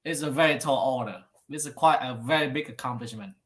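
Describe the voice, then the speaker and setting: Halting man hosting an interview. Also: mid-range voice. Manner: halting, many fillers. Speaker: podcast host.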